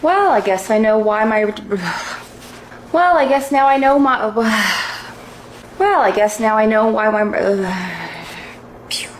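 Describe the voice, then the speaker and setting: A hoarse woman talks to herself between takes and fumbles her lines. She sighs and makes fun of her own voice. Her pitch jumps around a lot as she restarts. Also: animated, takes it slowly, pauses often, a little hiss or hum. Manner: flustered and self-deprecating, sighing. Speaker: content creator. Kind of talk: blooper asides.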